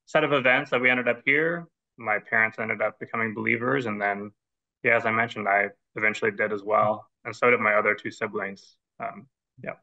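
A man in his thirties with an American accent answers interview questions earnestly from a plain room. His voice is bright with a gravelly edge. The call audio is dull and lo-fi. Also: fairly low pitch. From Netherlands. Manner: earnest, explanatory. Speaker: guest scholar.

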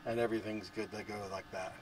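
A man's voice: fairly low pitch, fairly even intonation, fast, slight background noise, low-quality recording. A middle-aged man at an outdoor campground, enthusiastically explaining his trailer to a handheld camera, with frequent restarts. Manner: enthusiastic, explains gear hands-on with many restarts. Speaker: trailer owner. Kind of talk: casual show-and-tell interview.

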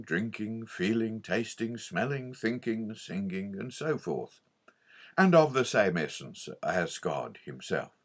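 A man reading an old theological book aloud as an audiobook narrator, in an expository manner with a husky voice. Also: fairly low pitch. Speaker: audiobook reader.

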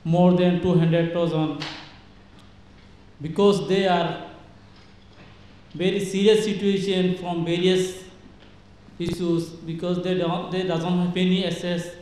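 High-voiced, even man in echoey hall. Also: slow, pauses often. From Bangladesh Rohingya refugee camp. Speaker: audience questioner. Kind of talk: formal request from the floor. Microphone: handheld. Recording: very echoey hall, faint noise.

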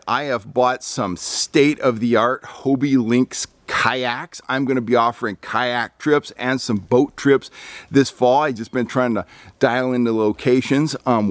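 A man's voice: medium pitch, no echo. Host type, man in his 50s, casual fishing-guide report, dry close sound, filler-heavy delivery, outdoors on a deck. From California. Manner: rambling, frequent ums and restarts, lively on recommendations. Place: outdoor deck among pine trees.

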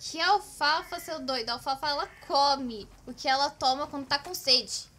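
A woman's voice: high-pitched, moderate pace, sharp tone. A woman voicing a teasing, bossy young girl in a Brazilian children's cartoon, exclaiming and scolding. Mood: exasperated. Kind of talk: children's cartoon comedy dialogue.

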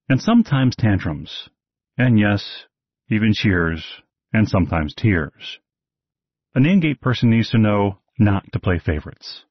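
A man's voice: on the low side, breathy edge, dry recording. A man hosting a podcast, reading a scripted monologue of frank advice with wry asides. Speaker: podcast host, horse show announcer. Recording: dull lo-fi dry recording.

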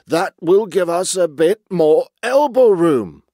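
A man's voice: high voice, expressive, takes it slowly, dark tone, no room sound, clean studio sound, pitched above the performer's own voice, heavily acted. Old walrus chief, acted by a male storyteller: big, blustery, self-satisfied, grunting, storybook style. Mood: complacent.

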